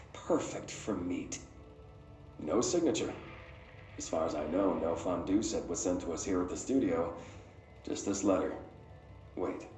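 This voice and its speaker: An actor playing a late-night paranormal radio host, speaking with deliberate, dramatic pauses in an eerie video game monologue. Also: male voice, on the low side, dark tone, reverberant, rough recording. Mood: hushed, puzzled.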